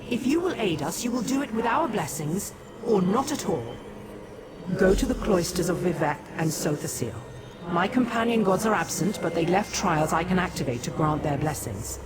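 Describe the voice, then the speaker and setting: A woman playing a solemn, regal living goddess in a fantasy game, speaking in a stately, commanding, measured manner. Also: on the low side. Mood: stern, then solemn, instructive. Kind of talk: scripted fantasy game dialogue. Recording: noisy game ambience behind.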